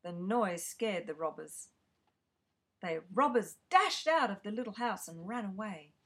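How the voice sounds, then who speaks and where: Slow, sing-song woman reading a fairy tale. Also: medium pitch, expressive, a bit bright. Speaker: storyteller. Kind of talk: reading a children's story aloud.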